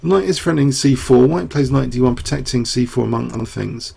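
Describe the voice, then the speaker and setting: A man's voice: medium pitch, steady tone, fast talker, vocal fry, dark tone, husky. A man analyzing a chess game aloud, losing his voice, rambling through rhetorical questions with many fillers. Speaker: chess commentator.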